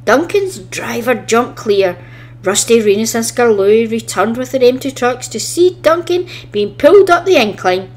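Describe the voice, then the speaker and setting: A woman's voice: on the high side, expressive, moderate pace, darkish tone. A woman narrating a children's story expressively, reading from a script as a storybook reader.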